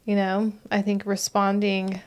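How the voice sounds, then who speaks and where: A young woman co-hosting a podcast, talking in a reflective, soft-spoken way with many fillers. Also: medium pitch, completely flat delivery, unhurried, clean tone. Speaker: podcast co-host. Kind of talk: casual chat.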